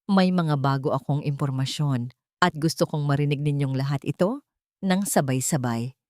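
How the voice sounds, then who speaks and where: A woman voicing a calm, cold, confident businessman in an audio drama, speaking in a controlled, deliberate way. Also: sits low, no echo, clean studio sound, voice pushed down, lightly acted. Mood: cold confidence. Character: calm, cold, confident wronged businessman confronting his rival.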